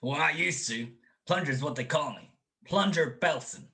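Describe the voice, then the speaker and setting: A man playing a dopey, impulsive young ex-college football player in a radio-style comedy mystery, blustering and excitable. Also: a little high, moderate pace. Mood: suspicious, blustering.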